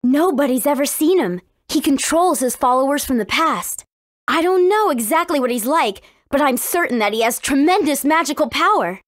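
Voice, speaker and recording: A woman's voice: high voice, lively intonation, moderate pace, no echo, studio quality. A woman playing an earnest, spirited young heroine in a video game, with a forthright manner. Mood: resolute.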